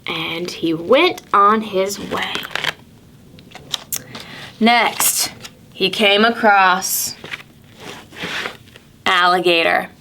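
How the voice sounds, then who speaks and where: A woman reading a picture book for preschoolers as a storytime presenter, with deliberate phrasing and dramatic pauses. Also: medium pitch, background noise.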